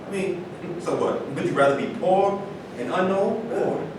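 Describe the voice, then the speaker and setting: Distant in a very echoey, noisy room, a man acts a brash, boastful male ex-football star in a stage reading, with a fairly high voice and dismissive, slangy talk. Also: moderate pace, lightly acted. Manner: brash, colloquial.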